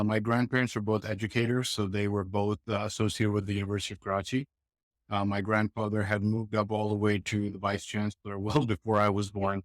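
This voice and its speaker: A man in his thirties from Pakistan, a podcast guest telling his life story in a reflective, steady way with frequent restarts. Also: lowish voice, no room sound. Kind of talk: casual interview storytelling.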